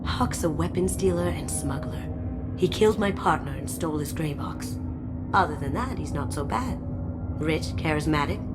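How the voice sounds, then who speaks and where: A woman's voice: low-pitched, expressive, normal speed, loud music behind the voice. A woman playing a sly, playful young master thief in a video game, with a breezy, teasing, wry delivery.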